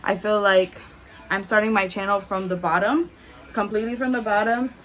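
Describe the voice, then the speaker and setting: A woman in her twenties chatting candidly as a beauty vlogger while doing her makeup, with frequent "like"s and soft laughs. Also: mid-range voice, steady tone, normal speed, faint music behind, poor audio. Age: 20s.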